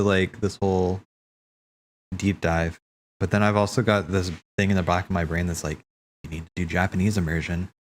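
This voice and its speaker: A man streaming a reaction to game cutscenes, chatting casually and thinking aloud with lots of fillers and restarts. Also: fairly low pitch. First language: English. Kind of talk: casual livestream chat.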